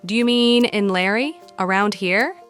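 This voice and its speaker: A woman's voice: mid-range voice, unhurried, a bit bright, clear, resonant tone, close to an everyday voice. A woman playing a teasing yet protective young mother in an audiobook. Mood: casual. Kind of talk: audiobook character dialogue. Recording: music behind the voice.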